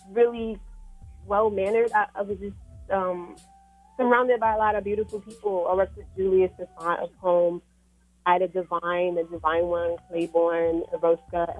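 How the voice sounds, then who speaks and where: A woman's voice: on the low side, narrow, tinny audio. A woman with a Trinidadian accent chatting casually as an interview guest, hesitant and often starting over. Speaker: guest, fashion model. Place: radio studio. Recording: music playing behind. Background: music.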